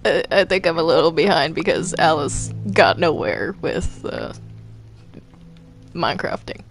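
A gamer with a lively, varied melody talks casually while playing, often stumbling and repeating words. The voice has some gravelly creak, and music plays faintly underneath. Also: mid-range voice, unhurried. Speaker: video game player. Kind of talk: casual gaming chat while playing Minecraft roleplay.

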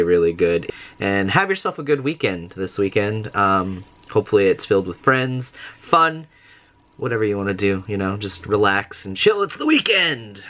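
A man's voice: fairly low pitch, fairly bright tone. A young man vlogging from his small bedroom, talking casually and rambling close to the camera with self-mocking humor. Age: young adult. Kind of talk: casual daily vlog chat. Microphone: camera close to face.

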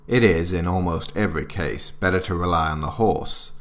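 A man's voice: sits low, normal speed. A man narrating a military handbook in a formal, grave, instructional manner, as scripted drama.

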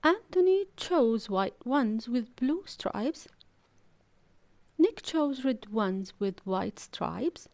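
A woman narrating a children's storybook aloud in an expressive, deliberate, emphatic storytelling manner. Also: a little high. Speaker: audiobook narrator.

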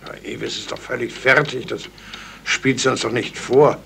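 An actor playing a sleuth's loyal, doubting confidant in a 1970s German radio crime drama. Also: male voice, mid-range voice, steady tone, moderate pace, creaky, bright, forceful tone. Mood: doubtful. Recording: slightly noisy old radio recording.

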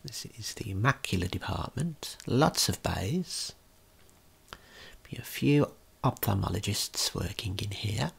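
A man giving a gentle, unhurried ASMR tour of a video game in a breathy, whispered, soft-spoken voice. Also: on the low side, expressive. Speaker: ASMR creator and tour guide.

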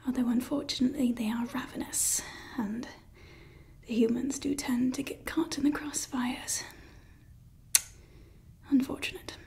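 A woman's voice: high-pitched, fairly even intonation, a little slow, long pauses, a little mellow. A woman whispering as a doting evil scientist. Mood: exasperated. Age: young adult. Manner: whispered, theatrical, self-important. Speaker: ASMR creator. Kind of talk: ASMR roleplay. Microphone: close mic at face level.

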